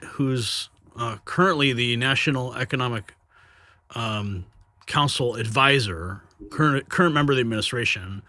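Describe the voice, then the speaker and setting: Guy hosting a casual money and politics chat, bright punchy voice, dry sound. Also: medium pitch, no echo. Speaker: interview host. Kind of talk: casual political and finance interview.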